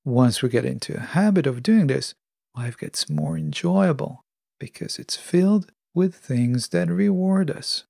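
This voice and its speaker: A man hosting a podcast, delivering a scripted motivational monologue with measured, deliberate phrasing. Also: on the high side, animated, gravelly, warm tone, no room sound, clean studio sound. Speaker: podcast host.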